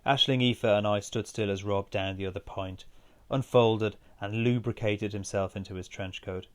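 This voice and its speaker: A man's voice: on the low side, steady tone, moderate pace. A man reading his own literary short story as scripted narration.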